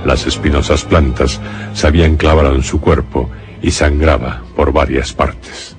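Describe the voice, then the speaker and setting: A man narrating a radio horror drama as a grave storyteller, solemn and deliberate, with a Castilian accent. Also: extremely low pitch, flat delivery. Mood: grave suspense. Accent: Castilian Spanish. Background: music.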